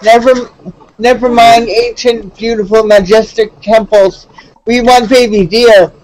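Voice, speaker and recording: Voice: mid-range voice, fairly even intonation, a little slow, a little mellow, slight background noise, low-quality recording. A person chatting casually on a video call, gushing playfully and stumbling over words now and then. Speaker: virtual tour participant.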